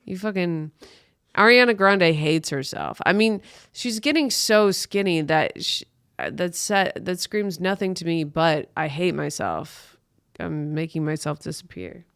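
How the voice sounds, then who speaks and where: A woman's voice: fairly low pitch, lively intonation, measured pace, fairly bright tone, smooth, no room sound, studio quality. A woman hosting a comedy podcast, riffing in a blunt, sweary, exaggerated and irreverent way. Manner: blunt, sweary, exaggerated, breaking into mock voices.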